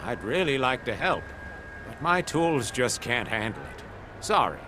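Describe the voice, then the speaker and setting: A man's voice: medium pitch, very animated, a little slow, noisy background. A man playing an apologetic, matter-of-fact workshop craftsman in a video game cutscene.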